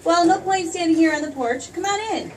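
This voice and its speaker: A woman's voice: very high voice, expressive, brisk, darkish tone, slight background noise. An actress playing a spirited, teasing young small-town woman in an old-time radio play. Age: young adult. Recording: distant stage mic.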